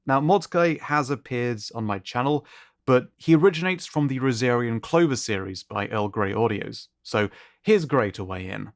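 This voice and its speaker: A man's voice: medium pitch, lively intonation, moderate pace, dry recording, studio quality. A man presenting a showcase as its host, in scripted commentary that is appreciative and descriptive. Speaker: host of an art contest showcase.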